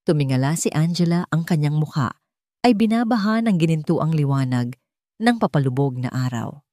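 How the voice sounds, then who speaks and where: A low-voiced Filipino-accented woman narrates a dramatic audio story. Her phrasing is measured and deliberate, with pauses for weight. The recording is dry and close, with very little room sound. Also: lively intonation, mellow, no echo.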